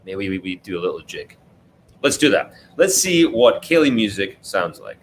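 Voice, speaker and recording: A man's voice: fairly low pitch, sing-song, moderate pace, slight background noise. A man reading a children's book aloud as its author, expressively, with simple explanatory asides to young listeners. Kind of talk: read-aloud storytelling for children, interactive.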